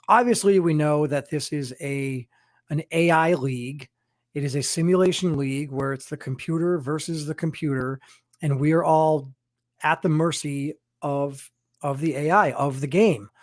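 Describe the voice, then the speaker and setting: A man's voice: on the high side, normal speed, dry recording, studio quality. A man hosting an interview, asking long winding questions. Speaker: interviewer host. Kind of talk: podcast interview.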